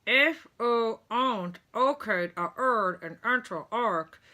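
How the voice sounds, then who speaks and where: A woman's voice: on the high side, animated, slow pace, pushed and bright, voice pushed up, full character voice. A woman playing a storybook dental patient, a man whose speech is muffled and garbled by gauze in his mouth. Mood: garbled, eager.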